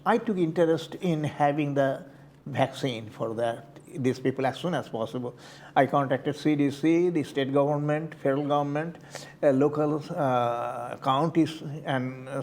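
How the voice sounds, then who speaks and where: A man's voice: a little high, a little mellow. An older man with an Indian accent, answering interview questions about his community committee work, hesitant with many fillers and restarts. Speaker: community board member.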